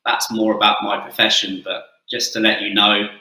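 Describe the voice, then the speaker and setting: Coach giving a talk, English accent, deep bright voice, earnest, roomy sound. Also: male voice, little pitch movement, moderate pace. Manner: earnest, with frequent "uh" fillers. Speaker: football coach and guest speaker. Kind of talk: presentation talk.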